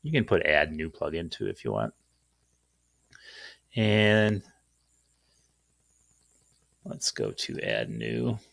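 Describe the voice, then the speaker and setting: A man's voice: extremely low pitch, sing-song, slow, creaky, long pauses, fairly bright tone, no room sound. A man hosting an informal, off-the-cuff screen-share tutorial in a rambling, self-deprecating way. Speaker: tutorial host.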